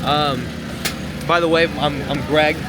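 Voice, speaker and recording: A man's voice: a little high, steady tone, measured pace. A young man, a comedian and YouTuber, chatting casually with vendors at an outdoor food event, easygoing and laughing often. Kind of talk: casual street interview. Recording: loud traffic and outdoor noise.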